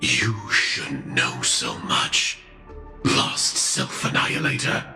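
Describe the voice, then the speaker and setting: A man voicing a cold, guarded armored mech hunter in a video game, terse and deliberate, through a metallic helmet filter. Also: lowish voice, huge pitch swings, sharp tone, full character voice. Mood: terse, ominous. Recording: echoing processed voice over music.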